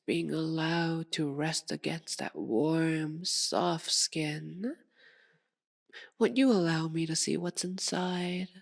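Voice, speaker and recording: A sadistic, possessive vampire lady voiced by a woman purrs to the listener in an ASMR roleplay. Her voice sits a little low and she draws her words out very slowly. She is formal, theatrical and seductively teasing. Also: creaky, heavily acted. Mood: curious, coaxing. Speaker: voice actor in audio roleplay.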